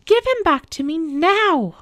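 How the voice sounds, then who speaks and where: Give the storybook's cruel witch queen a high, screeching voice. She commands and gloats with vengeful force, swinging widely in pitch. The sound is dry and close. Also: lively intonation, unhurried, fairly bright tone, no room sound, full character voice. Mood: furious, demanding. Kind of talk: dramatic storybook reading.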